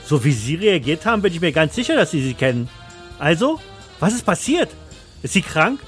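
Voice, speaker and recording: A man's voice: fairly high pitch, very animated, brisk, fairly bright tone, soft background music, pitched above the performer's own voice. A man playing a dull, anxious bookkeeper in an audio story, earnest and insistent with a hesitant delivery. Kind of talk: first-person audio story.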